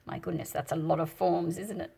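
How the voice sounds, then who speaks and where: A woman reading a storybook aloud and explaining it as she goes, in an expressive, deliberate manner with a slightly husky, breathy quality. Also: lowish voice. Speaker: picture book read-aloud host. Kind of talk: storybook read-aloud with teaching commentary.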